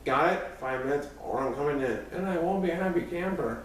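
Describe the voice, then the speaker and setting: A man's voice: on the high side, brisk, natural voice. A hot-tempered teenage boy played by a man reading aloud, protective and blunt, snaps out threats. The storybook voice comes from a distance in a very echoey room. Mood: angry, threatening. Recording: very echoey room, distant mic, faint noise.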